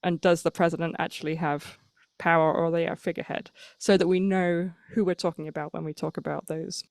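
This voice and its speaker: A woman's voice: lowish voice, flat delivery, a little fast, vocal fry, darkish tone, dry recording. A woman hosting a podcast interview, chatting in a friendly, casual way with lots of small affirmations. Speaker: podcast host.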